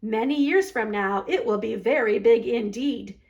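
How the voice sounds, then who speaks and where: A woman's voice: fairly high pitch, normal speed, vocal fry, highs cut off. A woman voicing a kindly, patient older male heron in a children's storybook, speaking reassuringly. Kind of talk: children's storybook read-aloud.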